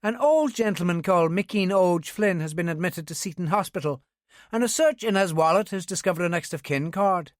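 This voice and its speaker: A woman's voice: lowish voice, fairly quick, no echo, studio quality, voice pushed up, close to an everyday voice. A male narrator voicing a young female police sergeant from Northern Ireland, with an Ulster accent and a polite, official manner. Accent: Northern Irish.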